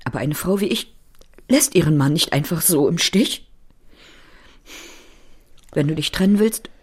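An actress playing a scornful, unyielding middle-aged wife in a German radio drama. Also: female voice, low-pitched, expressive, a little slow, a little mellow, breathy edge, exaggerated voice. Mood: scornful, defiant.

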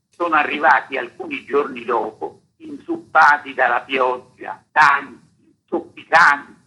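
An older man from Italy with a rough, husky voice, explaining history as an interviewed author in an emphatic, didactic way, building his points by repetition. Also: mid-range voice, pushed and bright, rough recording. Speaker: author being interviewed about a history book. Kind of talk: spoken interview, explanatory storytelling.

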